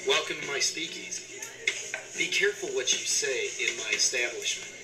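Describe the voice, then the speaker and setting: A man playing a brooding speakeasy owner, speaking low and ominously in a radio drama. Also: animated, normal speed, echoey room, loud music behind the voice, natural voice, rough recording. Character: Frank Sharon, brooding male speakeasy owner.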